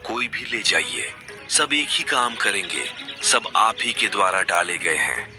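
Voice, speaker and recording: A man voicing a calm, cryptic sage in a devotional cartoon, sounding certain and mildly dismissive. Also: fairly low pitch, a little slow, over music. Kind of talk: animated devotional story dialogue.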